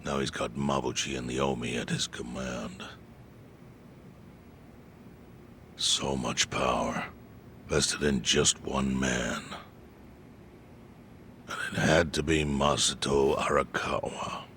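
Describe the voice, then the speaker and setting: An actor playing a grave, knowing older crime syndicate chairman in an English game dub, speaking in a deliberate, solemn and cryptic way. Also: a bass voice, little pitch movement, sharp tone, slight background noise, full character voice. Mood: grave, brooding.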